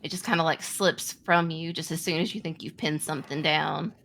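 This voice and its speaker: Female game master, low-leaning pitch, clear-cut bright tone, unhurried, halting storyteller for tabletop play. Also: steady tone, slight background noise. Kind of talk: improvised tabletop game narration.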